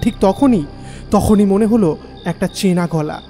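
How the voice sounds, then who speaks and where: A man acts as an uneasy male narrator of a horror audio story. His voice is high for a man but mellow, moving with measured suspense. It rings in a long reverb over a music bed. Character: uneasy male first-person narrator listening to ghost tale.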